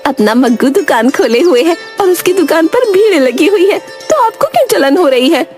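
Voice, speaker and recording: A woman's voice: extremely high pitch, big pitch swings, moderate pace, darkish tone, soft background music. A woman voicing a scolding village wife and proud, defensive mother in a cartoon. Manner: scolding, teasing. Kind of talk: Hindi cartoon moral-story voice acting.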